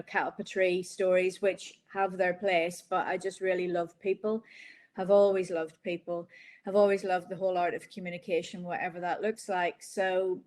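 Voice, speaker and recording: A woman in her forties with a Northern Irish accent, chatting reflectively as an interview guest about her own life. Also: lowish voice. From Northern Ireland. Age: 40s. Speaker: interviewed guest, business founder. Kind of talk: conversational interview, personal storytelling. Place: online video call.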